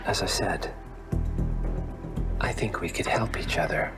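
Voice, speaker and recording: An actor playing a smooth, menacing male emissary of a shadowy organisation in a video game, insinuating and condescending, often half-whispering. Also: lowish voice, a little slow, music in the background, lightly acted. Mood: smooth persuasion. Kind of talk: video game cinematic dialogue.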